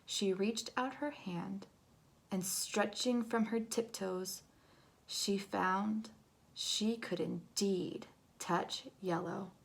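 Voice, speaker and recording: A woman reading a picture book aloud to children in an expressive, dramatic way, moving between hush and big swells. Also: medium pitch, very slow, vocal fry. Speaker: storybook reader.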